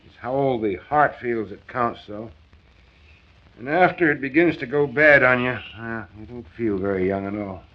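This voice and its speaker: A man's voice: medium pitch, moderate pace, lightly acted. Ailing ex-salesman in his fifties, discouraged but wryly folksy, played by a male actor; 1940s radio acting, muffled old transcription. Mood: wistful. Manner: folksy, wry, resigned. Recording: dull, band-limited 1940s radio transcription.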